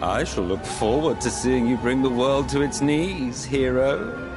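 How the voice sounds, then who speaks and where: An actor playing an ancient, scornful immortal sorcerer in a video game, theatrical and condescending, with a drawn-out sardonic delivery. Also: male voice, mid-range voice, over music, lightly acted. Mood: mocking pity. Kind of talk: dramatic video game voice acting.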